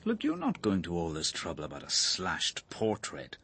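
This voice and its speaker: Low, husky, surly father. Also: huge pitch swings, normal speed, fairly bright tone, full character voice. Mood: bitter defiance. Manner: curt, brusque. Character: bitter, defiant, surly father under police questioning. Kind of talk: radio drama acting.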